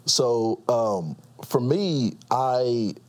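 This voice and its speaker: A man in his thirties from Nigeria, telling his story as a guest in a casual interview, earnest and quick to laugh. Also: medium pitch, expressive, darkish tone. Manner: laughs often, earnest, many restarts.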